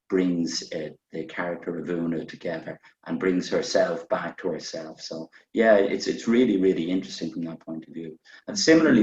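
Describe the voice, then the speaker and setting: A man with a deep voice moderates a book discussion. He talks informally and meanders towards his questions. He sounds distant from the microphone in an echoing space. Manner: loose, meandering phrasing. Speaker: moderator of a literary panel. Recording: echoey, muffled, distant from mic.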